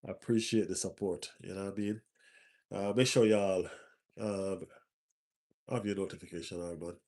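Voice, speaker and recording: A man's voice: low-pitched, fairly even intonation, moderate pace, darkish tone. A man with a stuffy nose hosting a casual live stream as a football fan, repeating his phrases for emphasis.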